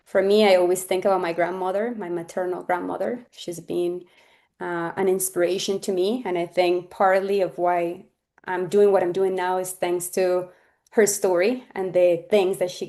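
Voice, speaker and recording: A woman's voice: fairly low pitch, flat delivery, normal speed, dark tone. A woman in her thirties from Colombia, presenting on a webinar over a video call in an earnest, loose way, with fillers and restarts. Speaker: webinar speaker. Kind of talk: presenting on a webinar, casual and personal.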